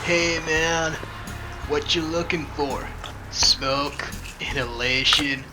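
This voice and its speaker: A man's voice: fairly high pitch, fairly even intonation, slow, rough recording. A man playing a mouthy stoner street drug dealer with drawling, slangy speech in an audio drama. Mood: laid-back, pushy. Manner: drawling slang, mocking, says dude constantly. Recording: music behind the voice.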